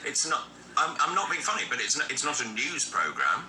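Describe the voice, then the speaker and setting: A man's voice: mid-range voice, big pitch swings, fairly quick, pushed and bright, slight background noise, low-quality recording. A man with a British accent hosting a casual television newspaper review, conversational and opinionated. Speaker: breakfast show host. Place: television studio.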